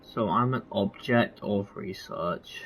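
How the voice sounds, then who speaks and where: A game streamer reading dialogue aloud and commenting in a halting, offhand, casual way. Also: deep voice.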